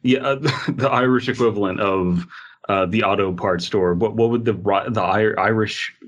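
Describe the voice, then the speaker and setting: A man's voice: on the low side, moderate pace. A man co-hosting a podcast in casual, joking chat, with a rambling, wry way of talking. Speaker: podcast co-host.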